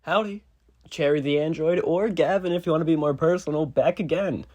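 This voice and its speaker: A man with a fairly high-pitched voice talks as a channel host. His delivery is informal and conversational, like a casual sign-off to subscribers. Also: brisk, a little mellow.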